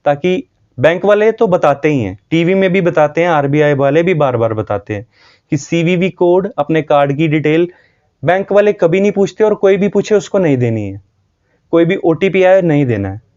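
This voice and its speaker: A North Indian man speaks to viewers in an earnest, informal explanatory style. His voice sits a little high and is on the mellow side, and he repeats points for emphasis. The recording is slightly dull. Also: lively intonation, moderate pace. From Jammu, India. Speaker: video host.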